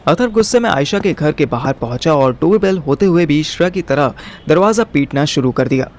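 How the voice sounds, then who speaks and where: A man's voice: on the high side, expressive, brisk, very noisy. A man with an Indian accent narrating a scripted audio story in a wry, colloquial way. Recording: music behind the voice.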